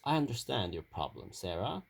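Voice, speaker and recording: A man's voice: lowish voice, big pitch swings, brisk, vocal fry, husky, heavily acted. A man voicing a thoughtful, understanding but firm female gym coach in a children's storybook, in a matter-of-fact manner. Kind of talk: children's storybook character reading.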